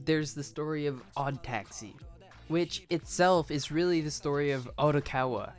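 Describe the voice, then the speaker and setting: A medium-pitched video essayist delivers scripted commentary on anime. The delivery is conversational and self-aware, with guitar music playing behind the voice.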